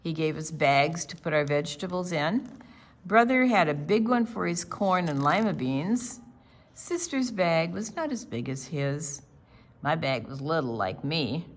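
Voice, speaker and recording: A woman with a fairly low voice reads a children's picture book aloud. She sounds like an older storytime reader, telling the story simply for young listeners. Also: lively intonation, unhurried.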